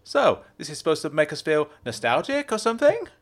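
A man's voice: on the high side, big pitch swings, a little fast, pitched above the performer's own voice. A man voicing a brash, bossy young woman student who fires off pushy, teasing questions.

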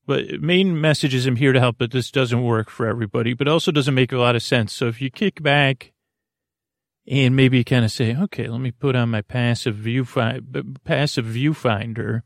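A man with a creaky voice hosting a bedtime podcast, talking in a soothing, meandering, halting way and often breaking off mid-thought. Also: mid-range voice, no echo, clean studio sound. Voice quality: creaky, gravelly. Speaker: podcast host. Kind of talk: rambling bedtime podcast monologue.

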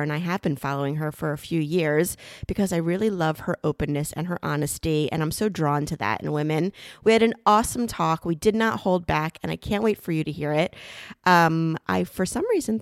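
Forties woman podcast host, enthusiastic, chatty, lowish pitch, dry close sound. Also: vocal fry, dry recording. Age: 40s. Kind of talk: casual interview chat.

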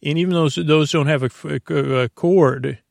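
Male bedtime podcast host, gravelly fry, close dry sound, halting and digressive. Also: on the high side, darkish tone, dry recording. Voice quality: creaky. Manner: meandering, halting, self-interrupting, goes off topic. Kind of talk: rambling bedtime podcast monologue.

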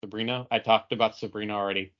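A man's voice: fairly low pitch, steady tone, racing, muffled recording. A man co-hosting a podcast, chatting casually and tossing in dry, joking asides. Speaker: podcast co-host. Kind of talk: casual bantering podcast chat.

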